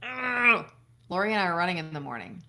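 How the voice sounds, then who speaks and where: A woman's voice: on the low side, very animated, brisk, gravelly, pushed and bright. A middle-aged woman from upstate New York, a doctor chatting casually on a live stream, answering viewer questions, laughing often and swearing now and then. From Buffalo, New York.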